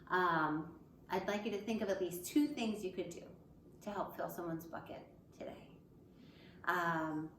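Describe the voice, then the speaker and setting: A woman's voice: lowish voice, vocal fry, a bit bright. A woman schoolteacher reading and talking to young children in simple, encouraging phrases. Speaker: elementary school teacher. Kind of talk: children's picture book read-aloud with asides to pupils. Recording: echoey room with faint background noise.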